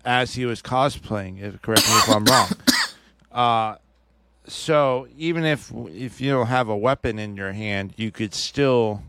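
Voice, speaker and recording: A man's voice: on the low side, unhurried, pushed and bright, slight background noise. A man co-hosting a podcast in casual chat, talking hesitantly with many restarts and hedges. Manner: hesitant, restarts often, hedges his opinions. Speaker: podcast co-host. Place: podcast studio.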